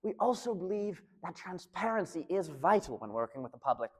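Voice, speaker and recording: A man playing a halting, formal government research fellow with dry understatement, explaining himself in an analog horror archival interview. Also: sits high, big pitch swings, darkish tone. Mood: measured, evasive. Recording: echoey hall with long reverb.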